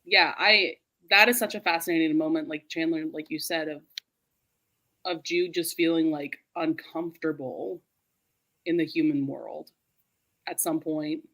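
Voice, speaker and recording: A woman hosting a book discussion in a casual, animated way, full of "like" and quick to laugh. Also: low-pitched. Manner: animated, laughs readily while talking; informal, heavy use of "like". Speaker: host of a book readalong live show. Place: remote group video call.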